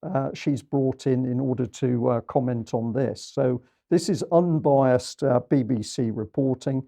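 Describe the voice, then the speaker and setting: A man's voice: medium pitch, a little slow, creaky, dark tone. A man presenting an online news show, giving pointed, critical commentary on news clips.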